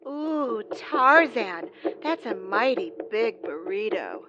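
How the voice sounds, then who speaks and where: Woman acting a slow, flirty commercial bombshell. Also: a little high, sing-song, background noise. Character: breathless flirty Jane in a cheesy TV commercial. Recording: dull TV sound under loud music.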